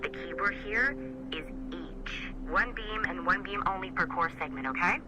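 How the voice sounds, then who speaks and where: An actor playing a nervy, chatty office IT technician, talking informally and a bit wryly in video game dialogue. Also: male voice, sits high, normal speed, over music, lightly acted. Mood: instructive, encouraging. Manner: hesitant, informal, wry. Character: nervy, chatty male office IT tech, snoopy and sheepish. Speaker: IT technician coworker. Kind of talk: game dialogue, casual guidance.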